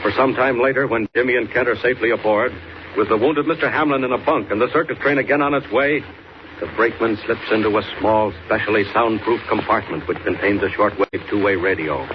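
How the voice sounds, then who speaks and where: American guy announcing an old time radio serial, dramatic delivery, mid pitch, muffled with clear static. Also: brisk. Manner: dramatic, declamatory. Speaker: announcer and narrator. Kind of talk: 1940s radio serial narration.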